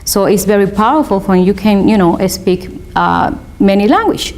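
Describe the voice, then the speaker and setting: A woman with a Spanish accent, a native Spanish speaker and law student, giving an earnest, motivational interview in halting non-native English. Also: mid-range voice, lively intonation, a little mellow. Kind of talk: interview, personal testimony.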